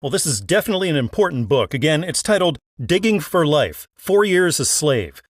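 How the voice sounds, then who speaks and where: Higher-voiced man hosting an interview show. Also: big pitch swings, fairly quick, no room sound, studio quality. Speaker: podcast host and interviewer. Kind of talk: scripted introductions and interview questions.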